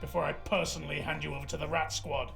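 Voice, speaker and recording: Man acting a very gravelly, hard-nosed marshal. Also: on the high side, little pitch movement, fast, fairly bright tone. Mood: cold threat. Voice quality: gravelly, growling. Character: hard, hot-tempered male marshal interrogating a suspect. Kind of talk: noir audio drama acting. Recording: faint music behind the voice.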